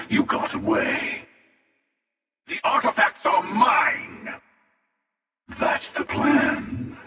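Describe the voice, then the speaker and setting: An actor playing a cynical, confident older space villain in a video game, wry and taunting, with a gravelly voice. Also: male voice, high voice, a bit bright, heavily acted. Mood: menacing, then wry, dismissive. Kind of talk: video game character voice lines. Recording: very echoey hall reverb, distant.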